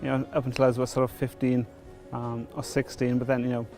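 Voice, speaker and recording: Welsh man, middle-aged, even tone, hesitant testimony, soft music underneath. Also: mid-range voice, fairly quick. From Wales. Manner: hesitant, many fillers and restarts. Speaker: church member before baptism. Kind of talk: testimony interview.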